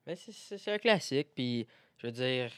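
A man's voice: mid-range voice, animated, moderate pace, a bit bright. Casual young man with French Canadian accent. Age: young adult. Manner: informal, conversational. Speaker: podcast co-host. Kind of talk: casual podcast chat.

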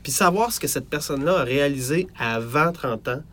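A Quebec French man chatting on a podcast with a slightly high voice. He rambles and hesitates, stopping and restarting his thoughts as he goes. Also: expressive, fairly quick, fairly bright tone. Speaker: podcast co-host. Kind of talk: casual podcast chat.

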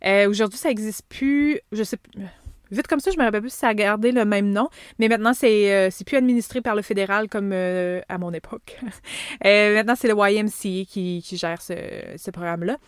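A woman's voice: mid-range voice, fairly quick, smooth, dry recording, studio quality. Chatty Québécois woman in her thirties. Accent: Québécois French. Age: 30s. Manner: chatty, rambling with asides and frequent fillers. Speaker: podcast host. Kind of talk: casual personal podcast monologue.

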